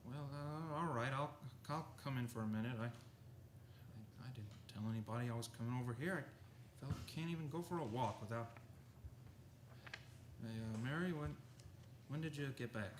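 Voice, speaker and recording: A man's voice: medium pitch, moderate pace, pauses often, roomy sound, background noise, lightly acted. An actor playing an awkward, stubborn, flustered young man in a radio play, stammering and hesitant.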